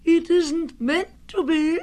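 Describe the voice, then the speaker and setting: Lady playing jumpy polite boy rabbit from a kids storybook, way up high, fussy n wary. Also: steady tone, slow pace, warm tone. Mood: wary, evasive. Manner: prim, evasive way of talking.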